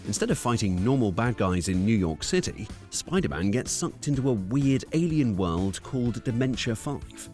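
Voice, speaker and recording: A man's voice: medium pitch, darkish tone. A man reading scripted narration for a countdown video. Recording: music under the voice.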